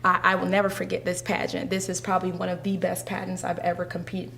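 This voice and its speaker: A woman's voice: fairly low pitch, monotone, fast talker, vocal fry, a bit bright. Chatty woman, faint hum behind. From Haitian descent. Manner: chatty, many fillers and restarts. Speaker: pageant titleholder and guest. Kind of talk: casual interview chat.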